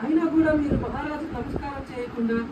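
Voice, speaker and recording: A woman's voice: high-pitched, fast, natural voice. A woman playing a proud, commanding king in an amateur puppet show, declaiming orders imperiously. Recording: roomy, distant mic, loud background noise.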